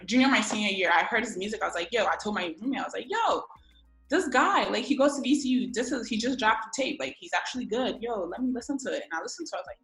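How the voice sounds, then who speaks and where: Young woman from Ghana, chatty guest on a video call, bright and echoey. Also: mid-range voice. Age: 20s. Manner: chatty, lots of fillers, slangy. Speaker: guest, publicist. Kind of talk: casual interview over video call.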